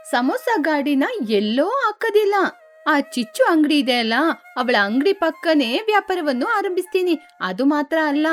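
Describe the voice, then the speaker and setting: A woman plays a boastful female sparrow from a children's cartoon, with a very high, girlish voice. She is a pushy, scheming snack seller, and music plays behind her. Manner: pushy street-vendor sales talk. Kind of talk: animated children's story dialogue.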